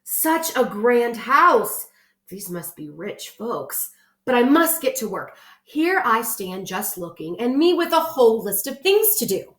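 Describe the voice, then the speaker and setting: A woman's voice: a little high, sing-song, unhurried, fairly bright tone, reverberant. A woman voicing a cheerful, literal-minded housekeeper from a children's storybook, chatting folksily and musing aloud. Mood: pleased.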